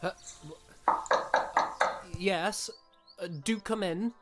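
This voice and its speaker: An actor plays a young period gentleman, proud and passionate, in a formal theatrical audio drama style. He speaks slowly and deliberately at a medium pitch, with music underneath. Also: lightly acted. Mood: flustered, polite. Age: young adult.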